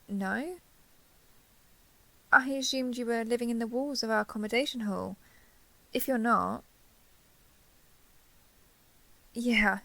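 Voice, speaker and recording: A young dragon girl of giant size , acted by a woman, speaks intimately to the listener in an audio roleplay. Her voice has a clear creak at an ordinary female pitch. She is gentle, nervous and apologetic, hesitating and rambling as she goes. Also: dry recording, low-quality recording. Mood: gently curious.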